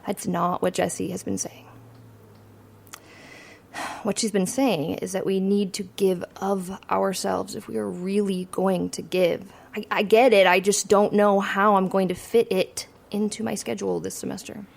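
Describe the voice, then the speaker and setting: A woman's voice: medium pitch, little pitch movement, unhurried, creaky. A young woman playing an ambitious finance student in an audio drama, talking in a dry, self-mocking way. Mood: wry. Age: young adult.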